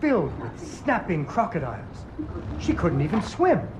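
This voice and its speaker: A lively puppet-show storyteller. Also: male voice, high voice, normal speed, background noise. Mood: storytelling. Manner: animated, sing-song fairy-tale delivery. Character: playful adult male puppet-show storyteller, theatrical. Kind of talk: storybook narration in a video game. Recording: game audio with music underneath.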